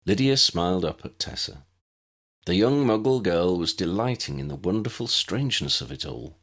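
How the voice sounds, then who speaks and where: A man's voice: low-pitched, animated, normal speed, a bit bright. A man reading scripted fiction as an audiobook narrator.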